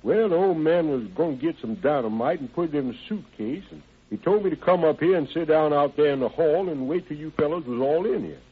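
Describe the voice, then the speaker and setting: A man's voice: on the high side, animated, brisk, hardly any pauses, breathy edge, narrow, tinny audio. An actor playing an evasive young ex-convict odd-job man in an old radio crime drama, speaking in a hesitant, rambling, folksy and ungrammatical way. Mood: nervous, explaining. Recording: band-limited vintage radio recording.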